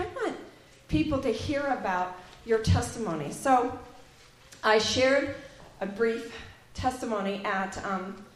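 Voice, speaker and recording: A woman's voice: on the high side, a bit bright. A woman telling a rambling story at a church gathering, then introducing a guest speaker. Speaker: event organizer introducing a guest. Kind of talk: casual church talk. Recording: very echoey room.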